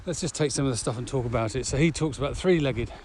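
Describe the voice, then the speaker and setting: Man, average pitch, casual podcast co-host, conversational, walking outdoors, light ambient noise. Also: very fast, darkish tone. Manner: conversational, talking while walking. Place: outdoors, on a village walk. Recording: noisy outdoor recording with ambient sound.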